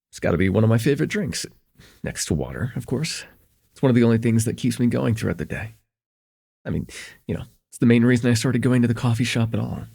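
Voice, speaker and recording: A man's voice: medium pitch, gravelly, darkish tone, slightly rough, full character voice. A young man playing a friendly, forgetful host in a slice-of-life ASMR roleplay, chatting casually and hesitantly with a close friend at home. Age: young adult. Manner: hesitant, rambling, chuckling, easygoing.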